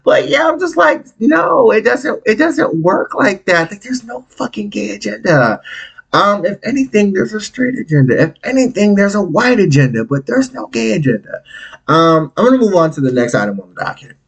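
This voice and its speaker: Animated, candid man in his thirties hosting a podcast. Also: normal speed, vocal fry, slightly rough. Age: 30s. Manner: candid, animated, big swings in pitch and volume; blunt, profane, conversational. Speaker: podcast host. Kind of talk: solo podcast monologue.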